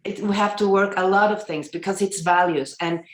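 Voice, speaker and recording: A woman's voice: medium pitch, steady tone, a little fast, roomy sound. A woman therapist, asking a question over a video call and searching for her words.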